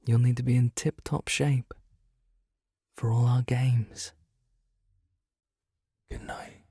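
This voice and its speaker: A British man voicing an ancient male monster in an ASMR horror roleplay, speaking with soft, mockingly polite menace. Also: mid-range voice, flat delivery. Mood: possessive menace. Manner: slow, soft-spoken, teasing menace.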